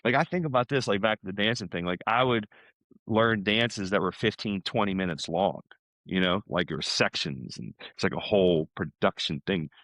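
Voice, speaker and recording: Casting: American man, middle-aged, low register, loose chatty storyteller, dry sound. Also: moderate pace, a bit bright, no echo. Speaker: podcast guest, salesman. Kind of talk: casual chat.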